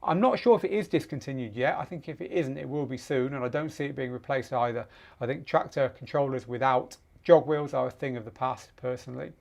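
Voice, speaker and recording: A man's voice: medium pitch, brisk, vocal fry, darkish tone. A man hosting a casual live question-and-answer show, explaining off the cuff in a conversational way and repeating words for emphasis.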